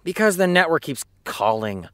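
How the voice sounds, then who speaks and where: A flippant, rambling showrunner in an audio drama. His voice sits high and swoops animatedly through sarcastic rants, recorded very dry and close. He sounds sleepless and exasperated. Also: very animated, moderate pace, a bit bright, dry recording. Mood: sheepish, weary. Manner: sarcastic, theatrical ranting. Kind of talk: naturalistic audio drama dialogue.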